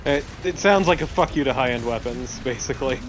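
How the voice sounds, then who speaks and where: A man's voice: mid-range voice, a little fast, a little hiss or hum. A man chatting casually as a co-commentator on a video game. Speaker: let's play co-commentator. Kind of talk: casual chat.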